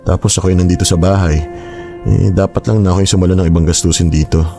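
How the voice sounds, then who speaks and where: A man playing a young teacher and proud older brother who turns defensive and quickly flares into shouting, in a radio drama. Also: low-pitched, level tone, soft background music. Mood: calm.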